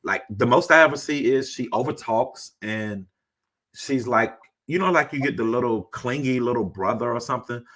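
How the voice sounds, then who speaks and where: A man with a deep voice hosting a casual chat, talking in a laid-back, rambling way. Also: moderate pace, fairly bright tone. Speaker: host.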